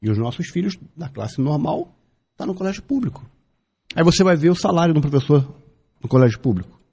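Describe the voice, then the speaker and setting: A Brazilian man in his fifties delivers a radio opinion piece, pressing his case with repeated phrases and pointed questions. His voice is somewhat husky and warm in tone, and it rises and falls a great deal as he argues. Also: fairly high pitch, very animated, a little fast. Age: 50s. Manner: emphatic, builds arguments with repetition and rhetorical questions. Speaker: radio commentator. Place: radio studio.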